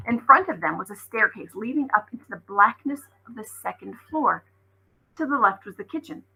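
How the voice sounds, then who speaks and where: A woman's voice: fairly high pitch, animated, moderate pace, creaky, breathy edge, narrow, tinny audio. A woman, a teacher reading aloud, narrating a children's story expressively.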